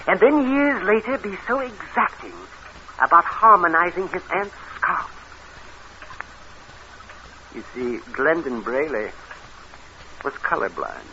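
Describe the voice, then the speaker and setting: An American actor playing a shrewd, measured, insinuating man who exposes an imposter in an old radio drama. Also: fairly high pitch, sharp tone, exaggerated voice. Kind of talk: 1940s radio drama acting. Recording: dull, faintly noisy old radio recording.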